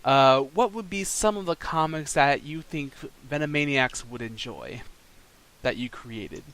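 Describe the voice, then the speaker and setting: A man's voice: on the high side, expressive, measured pace, a bit bright. A man hosting a podcast, asking his guest questions as an eager fan. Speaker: podcast host. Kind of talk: casual podcast interview.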